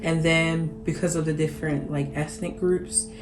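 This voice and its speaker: Low-voiced thoughtful woman, echoey room. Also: little pitch movement, moderate pace. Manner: thoughtful, hedging with frequent fillers. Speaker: Camden resident interviewee. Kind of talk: documentary interview. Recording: echoey room with music behind.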